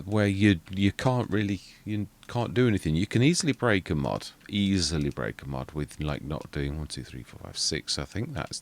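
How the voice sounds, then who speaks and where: Very deep-voiced, soft-spoken man. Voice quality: quiet voice. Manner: rambling, self-correcting, muttering asides. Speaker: gaming channel host. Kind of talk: casual gameplay commentary.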